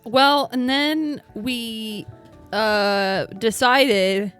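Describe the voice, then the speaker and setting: Slow, giggly high-voiced woman. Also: a bit bright, smooth, faint music behind. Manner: giggly, unhurried. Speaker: podcast regular. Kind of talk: casual podcast chat.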